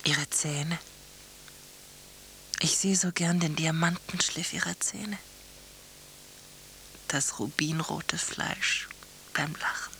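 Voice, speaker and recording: An actress playing a tender, adoring woman in a radio play, languid, breathy and intimate as she courts another woman. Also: deep voice, steady tone, slow pace, long pauses, pushed and bright, slight background noise, heavily acted, poor audio.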